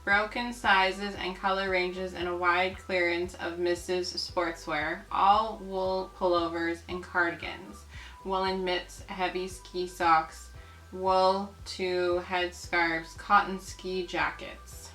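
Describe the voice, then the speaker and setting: Bright-voiced, unhurried woman in a roomy space. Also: lowish voice, little pitch movement. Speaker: video host. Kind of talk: casual chat while reading aloud from old newspapers. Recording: roomy echo, faint music behind.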